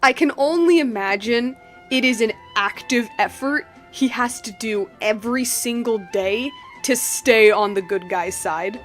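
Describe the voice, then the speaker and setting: Girl streamer chatting over a game, voice a bit high, rambly and jokey, soft music in background. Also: unhurried. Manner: rambling, joking, repeats phrases. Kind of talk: casual playthrough commentary.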